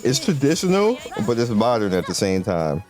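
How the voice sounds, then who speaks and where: A man's voice: medium pitch, huge pitch swings, moderate pace, a little mellow. A man giving casual, rambling commentary over a mobile game, explaining its modes and team builds with lots of fillers and restarts. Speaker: gaming channel host. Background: game music.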